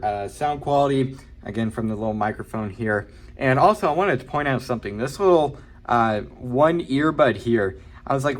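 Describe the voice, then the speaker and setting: A man giving a casual, informal product review, talking in a halting way and hedging his opinions. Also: mid-range voice. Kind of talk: casual unboxing and review talk.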